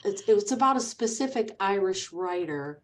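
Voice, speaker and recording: A woman's voice: medium pitch, lively intonation, normal speed. A woman joining a video call discussion, speaking hesitantly with many ums and restarts. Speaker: participant. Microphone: video call computer mic.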